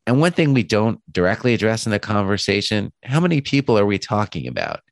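A hoarse man with a cold, hosting a podcast from his home studio, talking in an earnest, thoughtful way with frequent restarts. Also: lowish voice, sing-song, quick pace, no echo. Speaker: podcast host. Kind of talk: podcast introduction.

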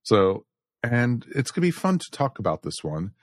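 A man's voice: mid-range voice, very animated, normal speed, no echo, studio quality. An American man hosting a podcast in a casual, chatty style. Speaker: podcast host.

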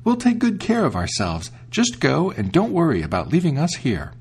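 Squeaky scared little goat kids from a storybook, guy doing the voices, kinda husky. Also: wildly swooping pitch, normal speed, darkish tone, exaggerated voice. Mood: pleading. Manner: high, pleading, childlike. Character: shrill frightened young goat kids.

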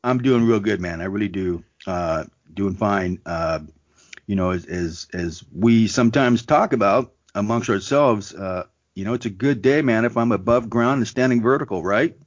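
A man hosts his interview podcast in an easygoing, unhurried way, often pausing on fillers. His voice sits low and husky. The recording sounds dull and lo-fi, with faint music underneath. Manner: relaxed, laid-back, frequent fillers. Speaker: podcast host.

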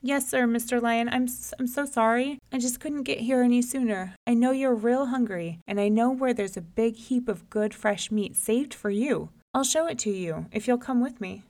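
A woman's voice: on the high side, normal speed, few pauses, darkish tone, voice pushed up, close to an everyday voice. A woman voicing a wily male trickster rabbit in a storybook tale, pleading and coaxing. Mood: fearful, meek. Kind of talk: storybook character voice.

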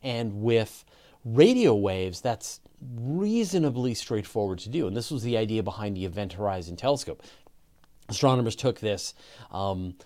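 A man's voice: lowish voice, sing-song, normal speed, dark tone. A man with a Canadian accent hosting a livestream question show, explaining ideas step by step in a conversational way. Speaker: host of a space podcast. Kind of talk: livestream Q&A, explaining astronomy to viewers.

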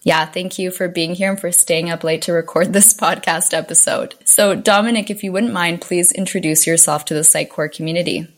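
A woman's voice: lowish voice, fairly even intonation, brisk, few pauses. A woman from Argentina hosting a podcast interview, asking questions in a friendly, upbeat way.

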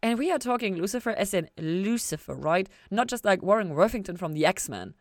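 A woman voicing a nerdy, excitable young woman scientist in a storybook reading, halting as she thinks aloud. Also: mid-range voice, dry recording, studio quality, voice pushed up, close to an everyday voice. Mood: probing, uneasy. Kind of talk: acted storybook dialogue.

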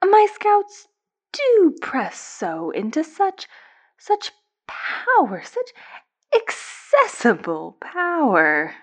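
An actress plays a power-hungry schemer in an audio drama, her voice high and slow. She swoops through silky, courteous phrases, pausing as she presses her point. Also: huge pitch swings, a bit bright, full character voice. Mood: coolly probing. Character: scheming, power-hungry woman commanding scouts.

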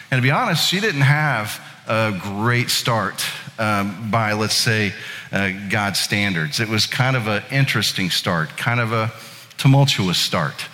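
A man's voice: medium pitch, sharp tone. A man in his late thirties from Indiana, a pastor telling a folksy, self-deprecating story in a casual sermon. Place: church sanctuary. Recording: church hall with long reverb.

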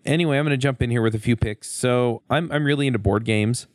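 A man's voice: mid-range voice, fast talker, dry recording, studio quality. A man hosting a podcast, chatting in an informal, conversational way. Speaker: podcast host. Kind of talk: casual podcast chat.

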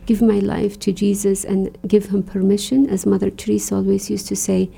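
A woman from Iraq, a religious sister, speaking earnestly and reflectively in an interview, often pausing with "you know". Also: mid-range voice, little pitch movement, vocal fry, mellow, husky. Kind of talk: conversational interview.

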